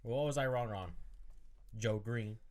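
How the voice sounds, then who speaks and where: A man's voice: medium pitch, a little slow. A man co-hosting a fantasy football podcast, bantering casually in a brash, curt, sweary way.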